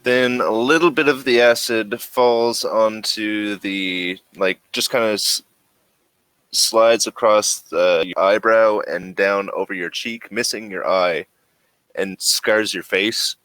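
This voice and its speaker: A man running a tabletop horror game as game master, narrating in a slow, suspenseful way with pauses. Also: fairly low pitch, fairly even intonation, a bit bright, clean tone, no room sound, poor audio.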